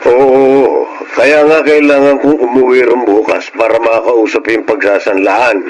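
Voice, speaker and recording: A Filipino man acting a soft-hearted middle-aged husband who defends his siblings in a radio drama. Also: medium pitch, fairly even intonation, unhurried, crowd noise, lightly acted, rough recording. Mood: defensive.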